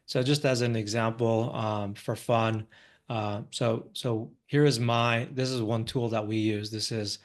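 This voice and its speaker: A man's voice: lowish voice, steady tone, a little slow. An American man, an investor, giving an informal live presentation in a conversational way, often checking in with listeners. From Los Angeles, United States. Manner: conversational, frequently checks in with "right?".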